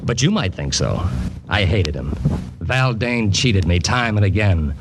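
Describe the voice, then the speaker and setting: An actor playing a flamboyant, bitter, mocking ghostwriter with a pompous, florid manner in a 1940s radio crime drama. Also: male voice, fairly low pitch, expressive, unhurried. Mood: bitter, arch. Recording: noisy old 1940s radio recording.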